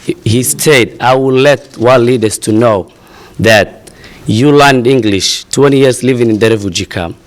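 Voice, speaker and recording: A young man from Somalia, a refugee advocate, gives a halting, earnest statement at a press conference, full of pauses and fillers. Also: medium pitch. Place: outdoor press event.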